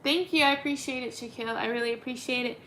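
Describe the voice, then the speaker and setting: Young woman, twenties, casual livestream chat, talks through comments, higher voice, phone mic. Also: fast talker, a bit bright, a little hiss or hum, low-quality recording. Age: 20s. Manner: chatty, answers comments as they come, many ums. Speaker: livestream host.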